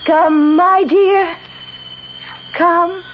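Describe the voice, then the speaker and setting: An actress playing Death as a gentle motherly woman in a 1970s radio drama, soothing and solemn. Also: extremely high pitch, steady tone, very slow, heavy background noise, full character voice. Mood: beckoning. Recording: muffled old radio broadcast with music.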